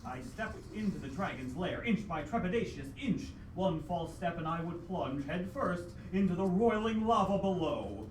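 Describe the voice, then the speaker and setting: A man's voice: sits high, big pitch swings, moderate pace, few pauses. A man playing a heroic adventurer who narrates his dragon quest as a solemn, melodramatic old-time radio play. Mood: brave, dramatic. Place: live theatre stage with audience. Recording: echoey live hall, distant mic, noisy.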